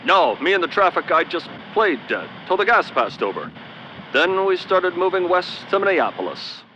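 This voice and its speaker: A man's voice: sits high, big pitch swings, moderate pace, sharp tone, slight background noise, exaggerated voice. Actor as a solemn radio newsman survivor. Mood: eager. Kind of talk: old-time radio drama narration, comedic. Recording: dull radio sound under music.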